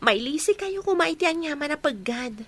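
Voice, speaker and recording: A woman's voice: sits high, lively intonation, normal speed, a bit bright, slight background noise. An actress playing a sweet, devout and respectful young woman with a Filipino accent in a radio drama. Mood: prayerful, tender.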